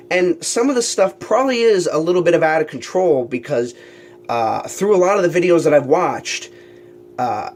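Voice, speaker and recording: A man's voice: on the high side, slight background noise. A man hosting his own talk show, congested from allergies and a cold, rambling casually with lots of ums and restarts. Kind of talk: casual solo monologue to camera.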